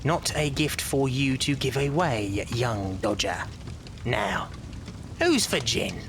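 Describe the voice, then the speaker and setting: An actor playing a wily old leader of child pickpockets in an audio drama, coaxing in drawn-out phrases with faint menace. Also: male voice, mid-range voice, expressive, fairly bright tone, slight background noise. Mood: dry, wary.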